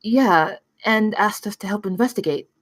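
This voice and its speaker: A woman's voice: medium pitch, normal speed, no room sound, close to an everyday voice. A woman playing a plainspoken, brilliant thirteen-year-old girl in a script table read. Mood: matter-of-fact.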